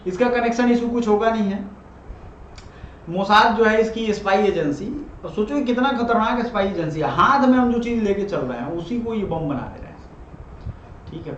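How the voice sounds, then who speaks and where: A high-pitched male teacher explains things in a conversational lecture style, often slipping into English. His voice rings in an echoey room, and he keeps checking that listeners follow. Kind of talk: classroom lecture explaining current affairs. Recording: echoey room, muffled, loud background noise.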